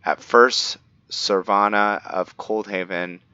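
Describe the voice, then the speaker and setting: A man's voice: lowish voice, fairly even intonation, slow, bright, forceful tone, smooth, no echo, muffled recording. A man playing a roleplaying game, reading in-game books aloud and stopping to comment, often stumbling over names and restarting words. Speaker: roleplaying gamer.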